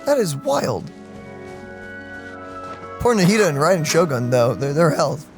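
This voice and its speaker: A man's voice: fairly high pitch, sing-song, measured pace. A man co-hosting a let's play with casual, colloquial, joking gaming commentary. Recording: game music behind voice.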